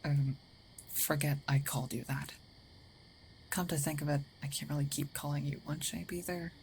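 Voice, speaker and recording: A woman playing a gruff but caring, territorial werewolf in an intimate ASMR roleplay, hesitant and often dropping into breathy half-whispers. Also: deep voice, fairly even intonation, moderate pace, darkish tone, a little hiss or hum, rough recording. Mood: flustered. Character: gruff female werewolf, territorial yet caring, awkward protector.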